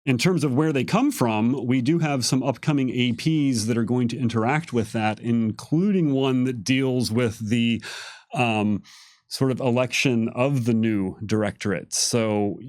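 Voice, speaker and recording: A man's voice: medium pitch, unhurried, a little mellow, dry recording, clean studio sound. A man giving casual, conversational explanations as a game designer on a Q&A panel.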